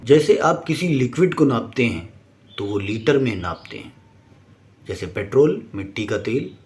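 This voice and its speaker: Emphatic male explainer with lively pitch. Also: moderate pace, slight background noise. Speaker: presenter. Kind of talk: scripted explainer narration.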